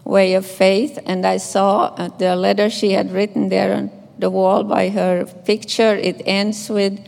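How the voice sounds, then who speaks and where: Older finnish lady, slow gentle eulogy, a bit gravelly, echoey hall. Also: medium pitch, little pitch movement. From Finland. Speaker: nun giving a memorial tribute. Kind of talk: scripture reading and eulogy.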